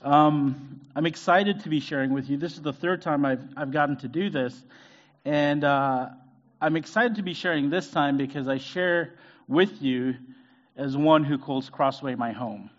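A man's voice: a little high, a little slow, lo-fi sound. Hesitant man preaching. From Zambia. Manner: hesitant, many fillers and restarts. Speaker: guest preacher. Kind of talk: conversational sermon. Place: church sanctuary.

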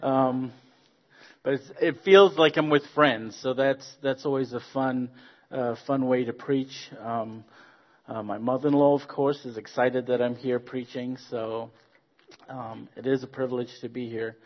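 A man from Zambia, a guest preacher giving a friendly sermon with stories, joking and pausing on fillers. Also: mid-range voice, steady tone, muffled recording. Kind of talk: sermon with storytelling.

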